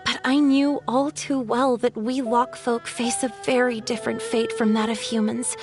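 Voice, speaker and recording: A woman playing a gentle, lovelorn water spirit in a video game, speaking in earnest, poetic phrases. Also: fairly high pitch, animated. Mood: wistful reminiscing. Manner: earnest, poetic, measured storytelling. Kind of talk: scripted video game voice acting. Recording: music behind the voice.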